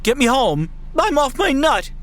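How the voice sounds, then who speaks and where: A woman's voice: high-pitched, very animated, measured pace, background noise. A woman playing a frantic, desperate family man, stammering and pleading, in a comic radio drama. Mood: agitated, confused.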